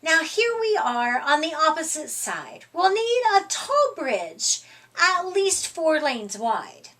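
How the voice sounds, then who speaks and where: A middle-aged woman reading a picture book aloud to young children in an expressive, deliberate, emphatic way. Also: sits high. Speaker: storytime reader. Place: small furnished room indoors.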